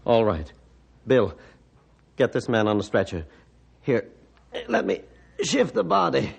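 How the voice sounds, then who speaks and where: An actor playing a bitter, guilt-ridden hermit who was once a surgeon, gruff and theatrical in old-time radio style. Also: male voice, medium pitch, big pitch swings, measured pace, full character voice. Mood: resolute, directing.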